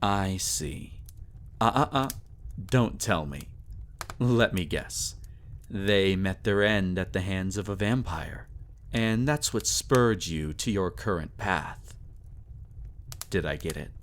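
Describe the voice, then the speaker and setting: A low-voiced, suave vampire lord, speaks in a scripted dramatic roleplay. His pitch swoops theatrically as he taunts with silky, possessive menace. There is clear noise behind the voice. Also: expressive. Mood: mocking. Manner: theatrical, taunting, silky condescension with drawn-out phrasing.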